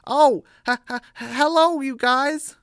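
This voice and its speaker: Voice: high voice, slow pace, a bit bright, no room sound, clean studio sound, voice pushed up, heavily acted. A narrator voicing an anxious, easily startled male farm animal with a fretful, stammering delivery.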